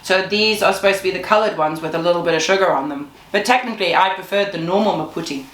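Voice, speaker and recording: A woman's voice: fairly low pitch, fast talker, fairly bright tone. A woman with a Zimbabwean accent chatting casually and laughing often while tasting snacks. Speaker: vlogger. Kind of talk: casual chat. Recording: echoey room, faint noise.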